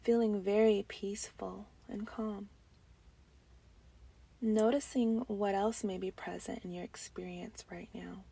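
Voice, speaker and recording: A woman leading a guided breathing meditation, gentle and soothing, with soft breathy phrases that often trail into a near-whisper and long pauses. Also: medium pitch, fairly even intonation.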